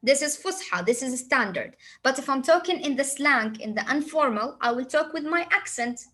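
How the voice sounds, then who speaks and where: Woman, Saudi Arabic accent, native Arabic speaker, high voice, teacher, rhetorical questions. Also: lively intonation, moderate pace, a bit bright. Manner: repeats phrases, asks rhetorical questions, switches to Arabic. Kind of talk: classroom lesson explanation.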